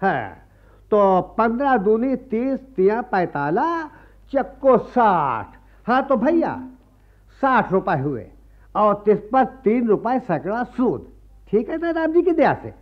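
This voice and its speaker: An actor playing a greedy, wily priest and moneylender in a radio play, sanctimonious and wheedling. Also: male voice, high-pitched, big pitch swings, slow pace, heavily acted. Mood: slyly calculating. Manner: sanctimonious, wheedling, repeats a pious catchphrase. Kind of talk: Hindi radio play acting. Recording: muffled archival radio play recording.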